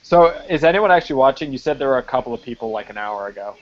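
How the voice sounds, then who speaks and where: A man in a loose, unscripted chat who peppers his talk with swearing and "like". His voice sits at an ordinary middle pitch. The sound is a bit dull and muffled, like online call audio. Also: lively intonation, racing. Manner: swears freely, lots of "like" fillers. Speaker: film site writer hosting a live-stream chat. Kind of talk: casual live-stream chat.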